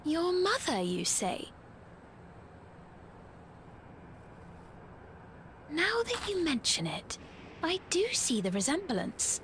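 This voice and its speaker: An actress playing a gracious, composed queen in a video game, speaking in a measured, formal manner. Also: high-pitched, huge pitch swings, fairly bright tone, a little hiss or hum, exaggerated voice. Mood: gentle, guarded. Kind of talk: scripted video game cutscene acting. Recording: orchestral game music behind.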